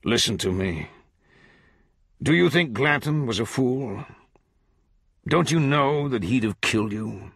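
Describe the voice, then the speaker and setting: Man voicing a grandiloquent, slow, menacing judge. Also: mid-range voice, animated, vocal fry, fairly bright tone, slightly rough, no echo, clean studio sound, pitched above the performer's own voice, exaggerated voice. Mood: probing. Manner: grandiloquent, deliberate, archaic phrasing. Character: eloquent, menacing, smiling older judge, grandiose and coaxing. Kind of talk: literary audiobook character voice.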